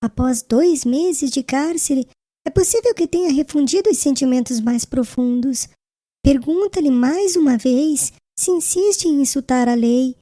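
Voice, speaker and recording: Woman acting a gentle young bride, high soft-toned voice. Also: a little slow, no room sound, studio quality. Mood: gentle pleading. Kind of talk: dramatized audiobook dialogue.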